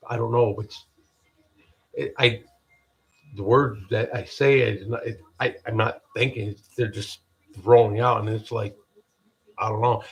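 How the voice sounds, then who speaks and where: A deep-voiced man from the United States hosting a podcast, giving a halting, rambling spiritual pep talk full of restarts. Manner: halting, restarts often, repeats "people". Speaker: podcast host.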